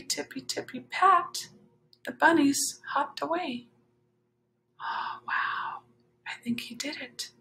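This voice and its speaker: A woman reading a children's picture book aloud expressively, with dramatic drawn-out phrasing and chatty asides. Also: high-pitched, a bit bright. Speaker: children's story reader.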